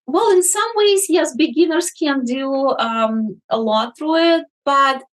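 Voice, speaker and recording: Woman, slow, hesitant fillers, interview guest. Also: high voice, animated. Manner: deliberate, with many ums and uhs. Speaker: guest, magazine editor. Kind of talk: interview answers.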